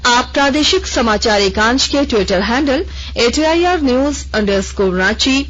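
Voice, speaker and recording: A woman newsreader reading a formal regional radio news bulletin in a measured, even way. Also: a little high. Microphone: studio microphone. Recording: dull lo-fi radio broadcast.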